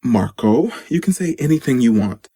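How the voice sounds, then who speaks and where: Animated, slightly husky exasperated life coach man acting. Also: mid-range voice, moderate pace, no echo, clean studio sound. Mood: patient, exasperated. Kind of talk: comedy audio drama acting.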